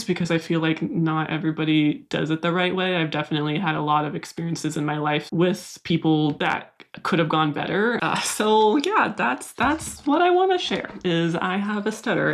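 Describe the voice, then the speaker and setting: A young woman with a low, soft voice talks to her viewers as she pots plants. Her tone is candid and confiding, unhurried and personal, like a vlog voice-over. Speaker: plant vlogger. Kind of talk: casual voice-over while repotting plants.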